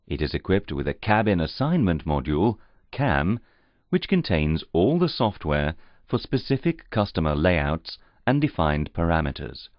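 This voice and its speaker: A man reading scripted instructional narration in a formal, measured, carefully enunciated way. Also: deep voice, very animated, dry recording. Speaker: training narrator. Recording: slightly muffled, dull audio.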